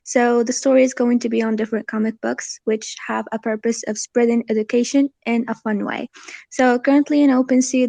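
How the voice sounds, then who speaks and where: Female, late teens, higher voice with flat intonation, earnest informal pitch as a guest, dry close sound. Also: no room sound, lo-fi sound. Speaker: guest, creator promoting a project.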